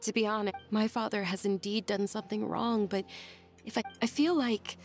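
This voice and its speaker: A woman acting an earnest, gentle young daughter in a video game. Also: medium pitch, steady tone, moderate pace, faint music behind, close to an everyday voice. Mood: earnest, resolved. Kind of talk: scripted game dialogue.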